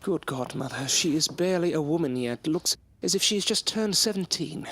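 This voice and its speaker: A man acting a stiffly formal young gentleman student. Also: on the high side, lively intonation, normal speed, slight background noise. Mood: exasperated, defiant. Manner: formal, stiffly polite, old-fashioned diction. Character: headstrong, bookish young Victorian gentleman, a medical student. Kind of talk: period audio drama acting.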